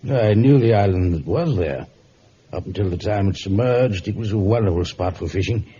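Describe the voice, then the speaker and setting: A man playing a measured, reflective, gentlemanly judge in a vintage radio drama. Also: fairly low pitch, animated, natural voice. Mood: reminiscing. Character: genial, measured male judge with somber reticence. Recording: muffled, hissy old radio recording.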